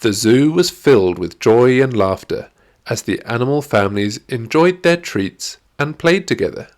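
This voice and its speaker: A man's voice: mid-range voice, expressive, normal speed, a little mellow. A man reading a scripted children's story as an audiobook narrator.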